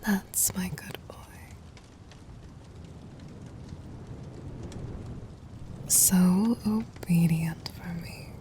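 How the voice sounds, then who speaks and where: A woman playing a gentle, doting demon queen in an ASMR roleplay, in a soft breathy whisper with tender, formal, intimate delivery. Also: fairly low pitch, a little hiss or hum. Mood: teasing, affectionate.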